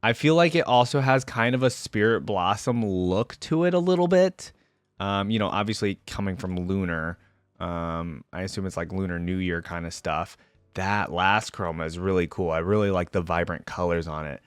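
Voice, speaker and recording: A man's voice: deep voice, normal speed, smooth, dry recording, soft background music. A man giving casual, informal reaction commentary on game character skins, thinking aloud as he goes. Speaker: reaction video host.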